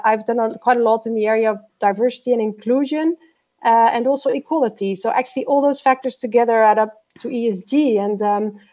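Hesitant high-voiced businesswoman guest. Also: dark tone, highs cut off. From Peru. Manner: hesitant, many fillers. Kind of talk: interview answer.

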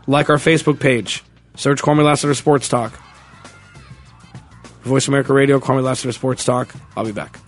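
A man's voice: medium pitch, steady tone, moderate pace, pauses often, soft background music. A man hosting a sports talk radio show, chatting casually with opinions and small self-corrections.

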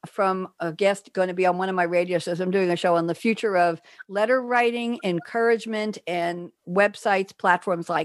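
A woman's voice: lowish voice, steady tone, normal speed, few pauses, no room sound, clean studio sound. An older woman with a New York accent, hosting a radio talk show and telling rambling stories with frequent laughter. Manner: chatty, rambling storyteller, laughs often. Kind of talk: casual chat with guests.

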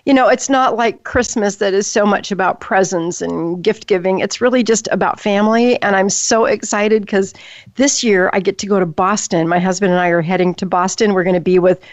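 A woman's voice: medium pitch, steady tone, fairly quick, no echo. An older woman hosting a talk radio show, upbeat and chatty. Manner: upbeat, chatty, laughs often.

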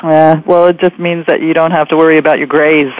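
A woman's voice: sits low, flat delivery, a little fast, no echo. Encouraging woman host on lo-fi radio. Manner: encouraging, affirming, conversational. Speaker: radio show host and interviewer. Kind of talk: casual interview chat.

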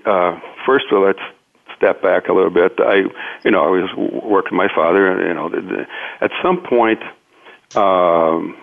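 A man from Brazil, a native Portuguese speaker with a Brazilian accent, giving an interview as a businessman in a halting, hesitant way. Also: low-pitched, creaky. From Minas Gerais, Brazil. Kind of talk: interview conversation, recounting own career. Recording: tinny phone-like line.

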